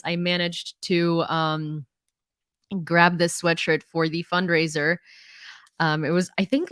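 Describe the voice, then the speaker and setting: A woman's voice: on the low side, fairly bright tone, no echo, studio quality. Chatty young woman with an American accent. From Ukraine. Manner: chatty, many fillers, laughs often. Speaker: live news streamer. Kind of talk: casual live-stream chat and news reading.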